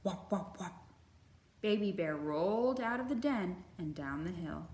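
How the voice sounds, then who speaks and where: Expressive woman reading a picture book. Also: on the low side, measured pace, roomy sound. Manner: expressive, animated, sound effects. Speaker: children's librarian, storytime host.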